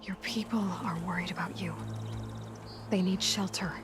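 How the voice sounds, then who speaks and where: An actress playing a pragmatic young heroine in a video game, frank and understated, reasoning with wry compassion. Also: female voice, medium pitch, moderate pace, close to an everyday voice. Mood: wry concern. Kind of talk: video game cutscene acting. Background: music.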